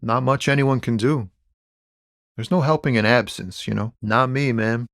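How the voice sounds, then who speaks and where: An actor playing a laid-back, philosophical lorry driver and amateur poet in a video game, talking in a wry, easygoing, slangy way. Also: male voice, medium pitch, moderate pace, fairly bright tone, no room sound, clean studio sound, lightly acted. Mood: weary, earnest. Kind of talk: video game character dialogue.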